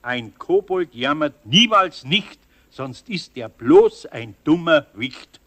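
A man's voice: on the high side, sing-song, slow, a bit bright, full character voice. A man playing an older craftsman with a Bavarian accent in a children's radio play, grumbly but caring, scolding and coaxing. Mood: teasing.

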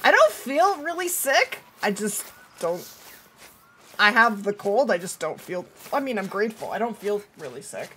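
Animated, bright-voiced woman streamer with a cold. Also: mid-range voice, normal speed, a little hiss or hum. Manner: chatty, talking to viewers and to the game. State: has a cold, losing her voice. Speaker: video game livestreamer. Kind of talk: casual livestream gameplay chat. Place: indoors at a streaming setup.

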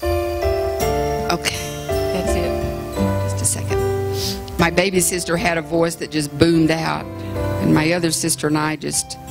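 A woman's voice: low-pitched, level tone, takes it slowly, gravelly, loud music behind the voice. A woman in her fifties, a guest speaker at a women's church conference, chatting casually with the congregation in a large sanctuary before she sings. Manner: chatty, self-deprecating, with frequent ums. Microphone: stage microphone at a distance from the camera.

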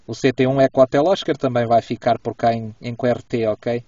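A man's voice: mid-range voice, steady tone, a little fast, dark tone. A man with a European Portuguese accent chatting casually and courteously as a radio ham inside a car, often checking back with his listener. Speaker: amateur radio operator. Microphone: camera a little way from him. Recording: dull, roomy, distant camera microphone.

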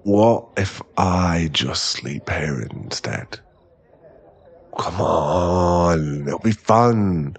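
A man's voice: low voice, lively intonation, breathy edge, heavily acted. A man acting a shy, stammering young boyfriend in an ASMR roleplay audio drama. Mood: tipsy, playful. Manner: halting, stammering, repeats words. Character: young male millionaire boyfriend, shy, later tipsy and clingy.

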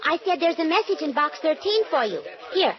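An actress playing a curious young newspaper counter clerk, teasing and nosy, in 1940s radio drama style on a dull old broadcast recording. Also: female voice, high voice, sing-song, fairly quick, crowd noise.

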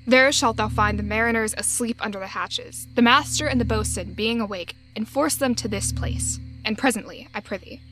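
Woman acting a grand solemn exiled sorcerer duke, highish voice, slow shakespeare verse, music behind. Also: a bit bright, lightly acted, rough recording. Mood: brisk, commanding. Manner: grand, declamatory, deliberate.

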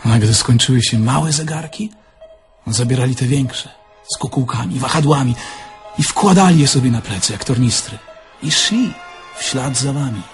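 An actor playing a naive, candid young Polish car thief in an audio drama, talking in a plain, conversational way. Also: male voice, medium pitch, lively intonation, moderate pace, faint music behind, natural voice. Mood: wry reminiscing. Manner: conversational, plain-spoken, reminiscing.

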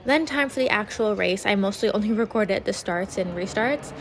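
A young woman chatting excitedly into her phone camera in a bedroom, with lots of "like"s. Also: mid-range voice, normal speed, background noise. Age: young adult. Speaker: vlogger. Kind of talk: casual vlog chat. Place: bedroom indoors. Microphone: phone camera at arm's length.

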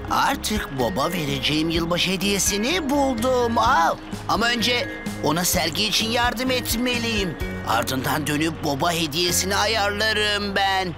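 A woman voicing a sweet, excitable crane truck in a children's cartoon, gentle, wondering and eager. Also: medium pitch, measured pace, music in the background, close to an everyday voice. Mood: excited. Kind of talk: animated children's cartoon dubbing.

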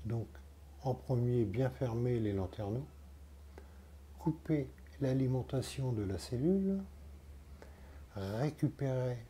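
Vlogger, man in his sixties, unhurried and hesitant, dark mellow tone, lapel mic outdoors. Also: mid-range voice, a little hiss or hum. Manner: plain, hesitant, many fillers. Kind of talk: casual vlog storytelling. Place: outdoors by a roadside and parking lots. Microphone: clip-on lapel mic.

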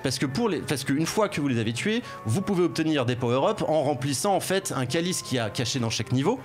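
A man reviewing a video game on a studio panel show, talking quickly and rambling with frequent restarts. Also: on the high side, animated, soft background music. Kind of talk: casual panel chat. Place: studio with headsets. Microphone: studio mic.

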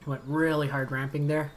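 A man, slightly raspy from a long day of talking, calmly commentating his card game as he plays, thinking aloud and muttering asides. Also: on the high side. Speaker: game streamer. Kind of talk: casual gameplay commentary. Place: home streaming setup.

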